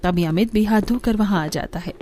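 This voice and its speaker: A woman's voice: mid-range voice, moderate pace, warm tone, faint music behind. A woman reading scripted narration for a cartoon story.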